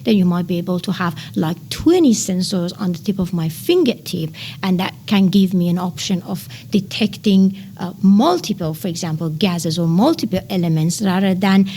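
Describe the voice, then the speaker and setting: A woman in her thirties from Iran with a Persian accent, a researcher explaining her field in an interview with frequent "uh" pauses. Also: lowish voice, dark tone, a little hiss or hum. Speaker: nanotechnology researcher.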